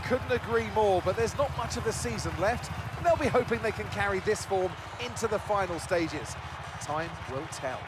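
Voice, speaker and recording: An actor playing an enthusiastic male motor racing commentator in a TV broadcast style for a video game. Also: high-pitched. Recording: noisy outdoor trackside with crowd and engines.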